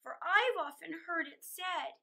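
A woman's voice: very high-pitched, a little slow, gravelly, sharp tone, pitched above the performer's own voice, full character voice. A woman voicing a dainty little female fly from a storybook, polite, wary and hesitant.

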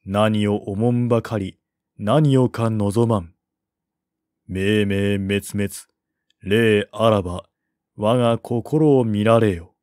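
A man's voice: fairly low pitch, fairly even intonation, slow, darkish tone, no echo, studio quality. A man voicing a composed, grieving strategist reciting a solemn lament in a historical novel. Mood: mournful.

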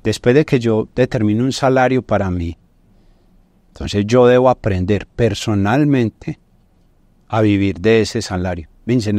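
A middle-aged Colombian man with a fairly low voice talks as an expert guest on a podcast. He explains didactically, often checking in with short tag questions. The sound is dry and close, from a lapel mic in a studio. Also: a little mellow, no room sound. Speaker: guest business finance consultant.

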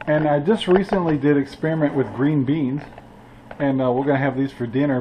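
A man's voice: fairly high pitch, muffled recording, slight background noise. An older man hosting a casual cooking vlog, talking in a folksy, rambling way with plenty of "uh"s and little chuckles. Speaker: cooking channel host. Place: outdoor yard beside a grill, and indoors in a cluttered room.

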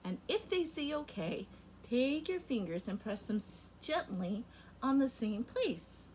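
A young woman teacher reading a picture book aloud to kindergarten children, very expressive, her loudness rising and falling sharply. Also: fairly high pitch, measured pace, slight background noise. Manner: expressive and animated, with big swings in loudness. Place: home room in front of a rainbow feather backdrop.

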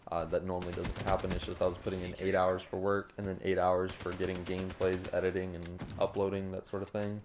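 A young man with a sore throat, his voice hoarse, giving rambling, apologetic voice-over commentary over gameplay. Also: sits low, little pitch movement, quick pace, hardly any pauses, noisy background. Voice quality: hoarse, gravelly. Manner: rambling, apologetic, many ums. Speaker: gaming YouTuber. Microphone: home desk mic with a cough drop.

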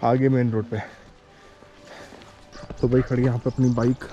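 A man's voice: mid-range voice, level tone, measured pace, dark tone, highs cut off. A man in his thirties chatting casually to camera as a travel vlogger, friendly and informal, recorded outdoors on a handheld camera. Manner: friendly, addresses viewers and locals as brother. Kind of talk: casual vlog chat to camera. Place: outdoors on mountain roads and villages.

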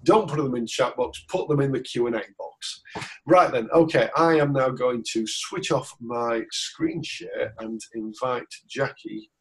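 A man's voice: medium pitch, measured pace, poor audio. A man in his forties hosting a webinar interview over a video call from a small office, speaking in a chatty, informal way while getting over a cold. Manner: chatty, loose and informal, with fillers and restarts. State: recovering from a cold. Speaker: webinar host and coach.

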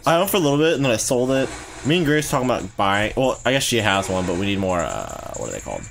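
A man's voice: lowish voice, very animated, fast talker, gravelly, fairly bright tone. A man chatting casually and crudely, joking as co-commentator over gameplay. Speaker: let's play co-commentator. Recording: game music behind the voice. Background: video game music.

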